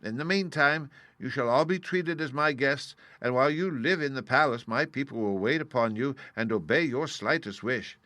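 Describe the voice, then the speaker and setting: A man's voice: mid-range voice, moderate pace, few pauses, pushed and bright, dry recording, pitched above the performer's own voice, lightly acted. A man reading aloud and voicing a meek, apologetic humbug wizard who admits he is no magician. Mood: confiding.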